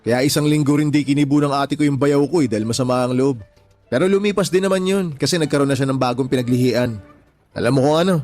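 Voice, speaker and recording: A man's voice: mid-range voice, fast, darkish tone, soft background music, lightly acted. An actor playing a married father, a sympathetic friend chatting matter-of-factly in a radio drama. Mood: amused recounting. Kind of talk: Tagalog radio drama dialogue.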